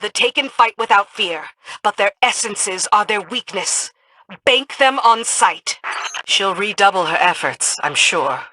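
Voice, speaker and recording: A battle-hardened commander with a bright, forceful voice. She is stern and direct, in video game style, over faint noise. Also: mid-range voice, animated, measured pace, poor audio. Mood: stern, resolute.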